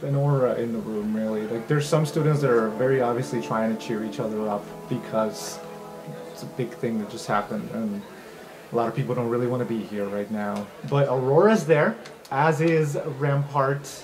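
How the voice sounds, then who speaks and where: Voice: mid-range voice, steady tone, normal speed, over music, rough recording. A game master narrating an improvised tabletop story in a casual, hesitant way.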